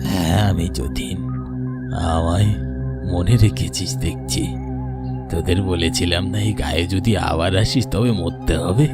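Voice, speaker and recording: A man's voice: low voice, unhurried, loud music behind the voice. A man voicing the vengeful ghost of a murdered village teacher, menacing and bitter in a cartoon. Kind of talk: cartoon voice acting.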